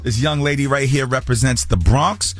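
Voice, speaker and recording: A man's voice: medium pitch, moderate pace, no echo. A man hosting a radio interview in a studio, joking and bantering with his guest. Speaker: radio DJ host.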